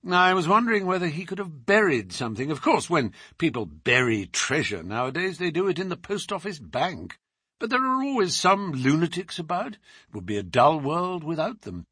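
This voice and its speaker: Storybook sleuth, male reader in character: shrewd, commanding, precise, slightly husky, wide swings in pitch. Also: very animated, normal speed, pushed and bright, no room sound, studio quality, heavily acted. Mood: brisk, severe. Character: shrewd male detective, sardonic, commanding, teasingly polite.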